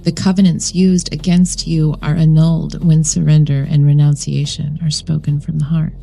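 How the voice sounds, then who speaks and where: A woman reading a scripted guided meditation in a solemn, measured way, with deliberate phrasing. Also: sits low, little pitch movement, gravelly, mellow, slightly rough. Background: background music.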